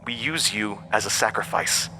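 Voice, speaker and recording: An actor playing an ancient monster, a persuasive, scornful tempter who speaks with theatrical, deliberate emphasis in a horror audio drama. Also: male voice, fairly low pitch, sharp tone, a little hiss or hum, poor audio. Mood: sly, conspiratorial.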